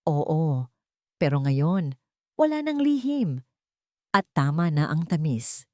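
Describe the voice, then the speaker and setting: A woman narrator plays a gentle young wife in a romantic audio story. She is forgiving and sincere, speaking in a lowish voice with expressive rises and falls, recorded dry. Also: takes it slowly, no echo, exaggerated voice. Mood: tender, sincere.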